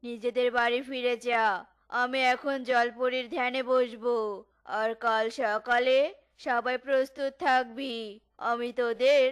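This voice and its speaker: A woman's voice: level tone, measured pace, clear, resonant tone, natural voice. Woman voicing a sly old fake holy man. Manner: commanding, condescending, booming laugh. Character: sly greedy old male holy man, smug fraud.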